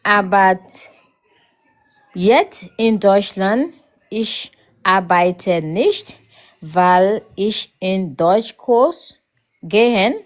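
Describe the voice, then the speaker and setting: Very slow woman from Liberia, learner. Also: medium pitch. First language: Mandinka. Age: 30s. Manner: careful hesitant learner, pauses between phrases. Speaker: language student. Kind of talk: introducing herself in a language class.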